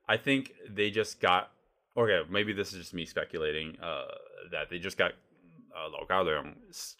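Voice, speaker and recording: A man who is a native Cantonese speaker, chatting casually and in a rambling way about films. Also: low voice. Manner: rambling, animated. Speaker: film discussion podcast speaker.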